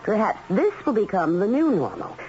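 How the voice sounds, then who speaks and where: An actress playing a cutting middle-aged society wife in a 1970s radio drama, pointed, polished and primly sarcastic. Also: female voice, mid-range voice, very animated, normal speed, warm tone, natural voice. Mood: cool, cutting. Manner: pointed, polished, falsely sweet on social calls. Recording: muffled old radio broadcast, faint music.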